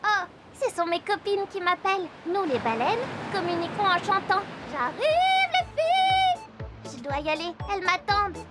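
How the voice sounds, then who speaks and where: A woman's voice: very high-pitched, big pitch swings, moderate pace, over loud music. A woman voicing a friendly female blue whale in a children's cartoon, cheerfully explaining things.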